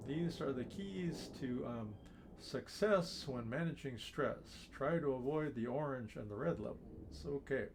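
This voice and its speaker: A man's voice: on the high side, gravelly, darkish tone, a little hiss or hum. A man hosting a live ukulele class, talking in a friendly, teacherly way with frequent chuckles and fillers. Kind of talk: live online class talk.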